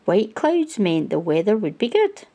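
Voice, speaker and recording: A woman reading a children's picture book aloud as a storytime host, with expressive storyteller phrasing. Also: medium pitch, measured pace.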